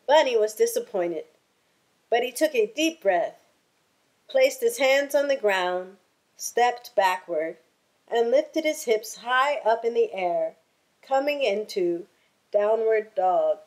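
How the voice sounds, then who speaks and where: A woman reading a children's picture book aloud in a deliberate, expressive storytelling manner. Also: a little high.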